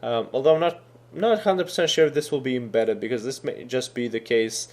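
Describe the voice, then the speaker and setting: Male instructor, native Serbian speaker, casual screen-recorded tutorial, mid-pitched, chatty and explanatory. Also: fairly quick, a little mellow. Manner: casual, explanatory, thinking aloud with fillers.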